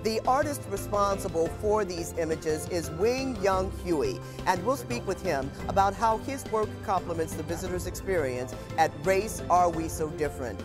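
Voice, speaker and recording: A woman host reading scripted narration for a local news feature and putting questions to viewers in a measured way. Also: on the low side, lively intonation, over music.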